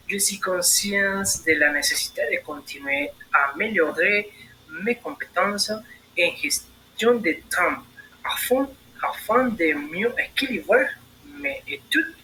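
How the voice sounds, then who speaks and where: A man's voice: on the high side, sharp tone, a little hiss or hum, poor audio. A young man, answering as a candidate in a practice admission interview, reciting prepared answers with hesitant fillers. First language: Spanish. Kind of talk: role-played job interview.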